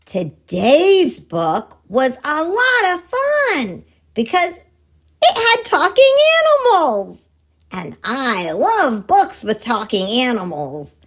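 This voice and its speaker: Lady doing a high excitable puppet critter for kids story time, slow sing-song, super swoopy. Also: exaggerated voice. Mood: playful. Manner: exaggerated sing-song, simple words.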